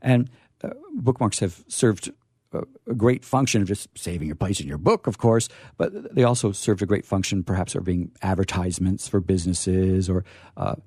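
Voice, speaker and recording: A low-voiced man hosts an informal talk before an audience. His tone is soft and mellow, picked up dry and close. He hesitates, repeats phrases for emphasis and slips into playful formality. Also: lively intonation, normal speed, husky, dry recording. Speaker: library staffer hosting a discussion.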